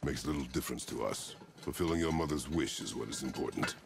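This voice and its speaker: A man playing a stern, battle-hardened warrior god and father in a video game, speaking tersely in a gravelly voice. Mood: stoic, guarded. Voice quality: very deep, gravelly. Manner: terse, measured, commanding. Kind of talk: cinematic video game acting.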